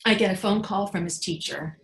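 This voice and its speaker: An actress plays a frank, protective mother telling her story as a dramatic monologue. Her woman's voice sits at a middle pitch, confiding and anxious, with wry edges and halts for emphasis. The room around her is noticeably echoey. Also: a little fast, vocal fry, close to an everyday voice. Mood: worried. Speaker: actor in a readers theatre performance.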